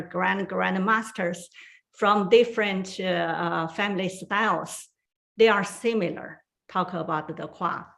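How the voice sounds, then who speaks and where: Woman trainer, unhurried, searching for words, middle pitch. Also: a bit bright. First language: Chinese. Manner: slow, careful, with many fillers and pauses. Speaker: guest tai chi trainer. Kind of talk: online forum talk.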